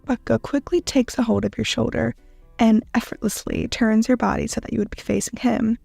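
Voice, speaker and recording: A woman narrating a scripted second-person story in a dramatic manner with deliberate pauses. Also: a little high, fairly even intonation, vocal fry, a little mellow, breathy edge, no room sound, faint music behind. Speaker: narrator of fan fiction audio.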